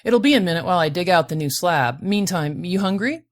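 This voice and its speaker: A woman's voice: lowish voice, expressive, a little fast, no echo, studio quality, lightly acted. A woman voicing a wary female space bounty hunter in an audiobook, self-assured and matter-of-fact. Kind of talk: audiobook character voice.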